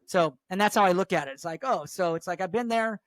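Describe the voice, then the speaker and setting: A man with a notably high voice talks like the seasoned radio host he is, rambling through stories with quick restarts. The sound is dry and close, with no room around it. He is middle-aged and relaxed in conversation. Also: dry recording. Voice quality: higher voice. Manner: chatty, storytelling, frequent restarts. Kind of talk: casual chat.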